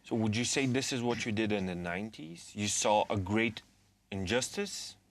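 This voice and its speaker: A man interviewing a guest, asking measured, probing questions. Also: lowish voice, animated.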